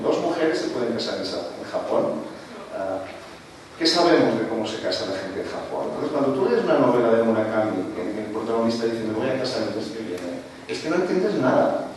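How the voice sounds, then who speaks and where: A man's voice: mid-range voice, distant mic, slight background noise. A man who is not a native Spanish speaker giving an academic talk to an audience, lecturing with frequent rhetorical tag questions. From Spain. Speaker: panelist. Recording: roomy hall, speaker away from mic, faint ambience.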